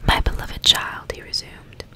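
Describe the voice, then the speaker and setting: A woman doing an ASMR book reading in a soft, breathy, unvoiced whisper, with deliberate storytelling delivery. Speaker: ASMR host reading a novel aloud.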